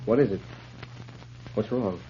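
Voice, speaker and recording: A man's voice: lowish voice, close to an everyday voice. Man playing a desperate, agitated fugitive in radio drama. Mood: pleading, distressed. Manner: halting, agitated, pleading. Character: desperate, honest male fugitive, anxious and emotional. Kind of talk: old-time radio drama acting. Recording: muffled, noisy vintage radio recording.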